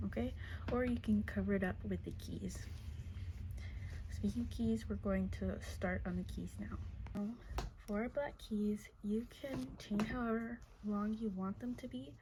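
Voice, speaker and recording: A soft-spoken woman walks through a crochet project at her worktable, her breathy voice half-whispered because it is going. Her tone is dark and mellow, dotted with fillers and gentle "okay?" check-ins. Faint background noise sits behind her. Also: medium pitch, rough recording. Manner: soft-spoken, counts stitches aloud, many fillers like "like" and "okay?". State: losing her voice, partly whispering. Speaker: crochet tutorial maker. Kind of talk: casual step-by-step craft tutorial.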